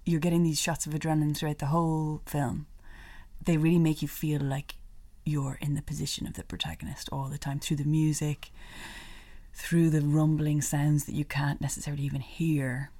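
A woman's voice: sits low, flat delivery, moderate pace, mellow. A woman co-hosting a culture podcast, chatting casually, laughing often and restarting her sentences. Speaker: podcast co-host. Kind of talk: casual chat.

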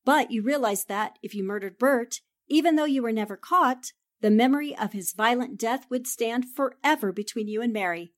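A woman's voice: a little high, moderate pace, few pauses, close to an everyday voice. A woman playing a shrewd, confident newspaper reporter with poised, theatrical delivery in a 1940s-style radio drama. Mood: cool accusation. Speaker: voice actor.

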